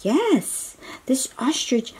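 A woman reads a children's book aloud with lively swings in pitch and an unhurried pace. Her voice is soft-edged and mellow, and she speaks encouragingly, as if chatting directly with a small child. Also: husky. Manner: encouraging, exclaiming, asks the listener questions. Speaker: children's picture book reader. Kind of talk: read-aloud storytime for young children, with chatty asides.

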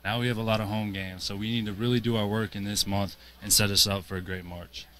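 A man in his twenties answering post-game interview questions as a basketball player, in a measured, earnest way. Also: lowish voice, steady tone, pushed and bright. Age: 20s. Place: indoor basketball arena, courtside. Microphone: handheld interview microphone held to him. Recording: clear arena background noise.

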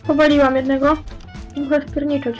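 A young woman chats casually with her squad in a game, giving short, blunt callouts. Her voice is high with little melody, over faint music. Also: little pitch movement, brisk. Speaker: video game player in a squad. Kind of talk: casual in-game team chat.

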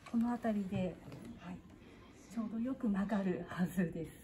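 A woman's voice: mid-range voice, a little fast. A woman museum guide giving a polite, patient demonstration to a visitor, explaining step by step in a formal way and asking the visitor questions along the way. She is recorded on a visitor's phone inside a museum hall. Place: indoor museum exhibition hall. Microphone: phone camera on a gimbal held by a visitor. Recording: phone recording with clear hall background noise.

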